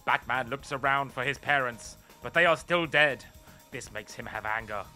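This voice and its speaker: A man playing the straight-faced narrator of an absurd superhero radio play, reading short declarations deadpan. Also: medium pitch, normal speed, faint music behind.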